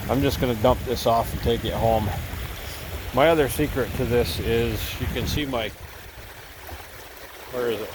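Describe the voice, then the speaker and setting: A man's voice: medium pitch, noisy background. A man with a head cold talking casually and rambling a little as he vlogs outdoors by a cold stream. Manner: easygoing, rambling, thinking aloud. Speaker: gold prospecting vlogger. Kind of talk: casual outdoor vlog narration.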